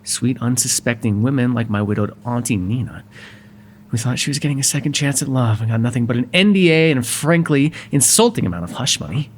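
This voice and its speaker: A man's voice: mid-range voice, expressive, a little fast, slight background noise, natural voice. A man playing a wry, courteous but exasperated mafia boss in an ASMR roleplay, sardonic and conversational in manner. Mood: wry, explaining.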